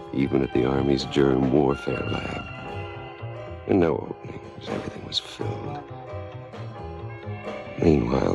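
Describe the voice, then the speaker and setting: An actor playing a weary, disgraced professor turned sinister inventor, speaking with measured deliberation in a noir radio drama. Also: male voice, extremely low pitch, gravelly, exaggerated voice. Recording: 1970s stereo radio drama, music underneath. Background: music.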